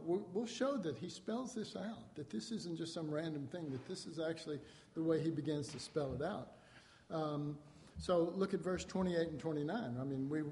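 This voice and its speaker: A man's voice: on the high side, mellow, long reverb tail, slight background noise. A man preaching a sermon, reading scripture and explaining it in a conversational way, acting out quotes with rising emphasis.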